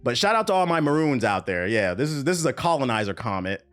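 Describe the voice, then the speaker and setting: A man's voice: medium pitch, very animated, quick pace, fairly bright tone. A young man livestreaming, joking loosely through reaction commentary and muttering asides under his breath. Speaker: streamer. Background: faint background music.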